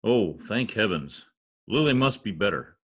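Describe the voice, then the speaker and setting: Older man playing a pompous, low-voiced radio star. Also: sing-song, measured pace, slightly rough, full character voice. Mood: exasperated. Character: pompous, exasperated male radio star and fretful husband. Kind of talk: old-time radio comedy acting. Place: indoor home office. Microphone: computer mic on video call.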